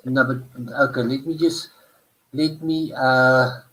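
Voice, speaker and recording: A man from South Africa hosts a live online stream, talking through problems out loud with plenty of ums and restarts. His voice sits at an ordinary middle pitch, and the sound comes through a plain computer microphone on a video call. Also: steady tone, slow pace, rough recording. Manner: hesitant, many fillers, thinking aloud. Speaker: livestream host. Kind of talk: casual chat while troubleshooting.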